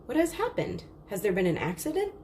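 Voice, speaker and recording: A woman's voice: medium pitch, huge pitch swings, a little fast, darkish tone, pitched above the performer's own voice. A skeptical, firm village father from a children's tale, voiced by a woman narrator. He questions sternly, with only light characterisation. Slight background noise is present. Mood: alarmed.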